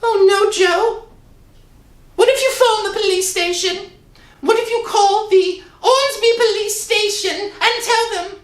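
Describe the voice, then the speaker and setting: Woman playing worried pleading wife, very high voice. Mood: anxious, soothing. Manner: earnest, pleading, drawn-out. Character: worried, pleading wife, nagging yet loving. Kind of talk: old-time radio drama acting. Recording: roomy echo with music underneath.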